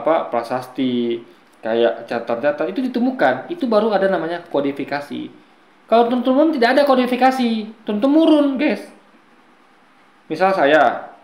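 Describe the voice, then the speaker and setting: A man with a fairly high voice lectures his viewers in an argumentative commentary, often calling them guys. His voice rings in an echoey room. He explains his points at length, like a teacher. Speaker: commentator responding to a video.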